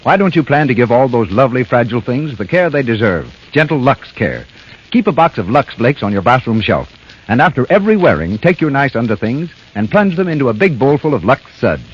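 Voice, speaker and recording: 1930s radio announcer, American man, animated, slightly husky, coaxing sales delivery, muffled broadcast with music. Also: mid-range voice, fairly quick.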